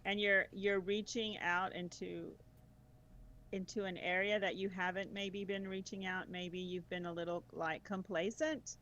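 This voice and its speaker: A woman's voice: medium pitch, steady tone, normal speed, fairly bright tone, muffled recording, slight background noise. A woman guest chatting casually about tutoring, enthusiastic, laughing often and using many fillers. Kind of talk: casual conversational chat.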